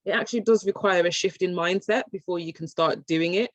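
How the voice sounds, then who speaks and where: A woman's voice: on the low side, a little fast, no room sound. A British woman giving an informal, chatty online workshop presentation, with many fillers and restarts. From England. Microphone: video call. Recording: muffled dry video call audio.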